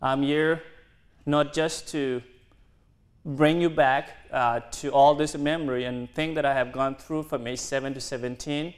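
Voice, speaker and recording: A young man in his twenties, originally from South Sudan with Dinka as his first language, addresses a university audience. He speaks earnestly in careful, halting English, often restarting his sentences. His voice sits at an ordinary middle pitch. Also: steady tone. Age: 20s. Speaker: guest speaker. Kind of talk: university lecture.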